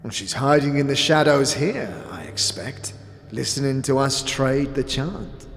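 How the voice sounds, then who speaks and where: An actor playing a sly, withered old scavenger boss in a fantasy audio drama, wheedling and cagey, with archaic, inverted phrasing. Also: male voice, medium pitch, lively intonation, measured pace, faint music behind. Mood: wry, evasive. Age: older. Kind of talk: audio drama character acting. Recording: long cavernous reverb.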